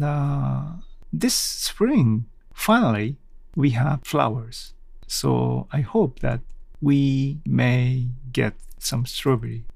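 A man hosting a podcast alone, talking casually and without a script, haltingly thinking aloud. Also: medium pitch, gravelly, a little mellow, dry recording. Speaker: podcast host. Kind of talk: casual unscripted solo talk.

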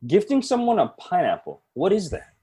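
A young man co-hosting a casual chat about a TV show, measured and thoughtful, with easy laughs. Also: sits high, wildly swooping pitch, mellow, slightly rough. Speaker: podcast co-host. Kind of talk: casual chat reviewing a TV episode.

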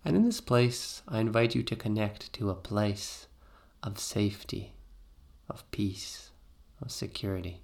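A man narrating a guided meditation in a soothing, gently instructive way with pauses between phrases. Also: on the low side, animated, gravelly.

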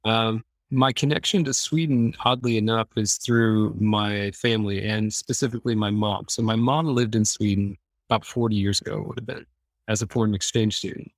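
A man's voice: lowish voice, fairly even intonation, normal speed, dry recording. A man with a Midwestern American accent, chatting and telling personal stories as a podcast guest in an easygoing, joking way. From Iowa, American Midwest. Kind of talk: casual chat, personal storytelling.

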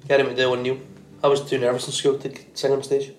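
A singer answers questions in a plain, understated way, mostly keeping his replies short. His mid-pitched voice sits in a reverberant room with a little hiss underneath. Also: monotone, quick pace. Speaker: singer guest. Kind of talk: casual interview chat. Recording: echoey room.

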